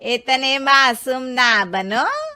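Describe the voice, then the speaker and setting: A woman voicing a bossy, sharp-tempered older mother-in-law in a Hindi cartoon story, scolding with sarcastic emphasis. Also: sits high, wildly swooping pitch, drawn-out words, heavily acted. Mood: stern, scolding.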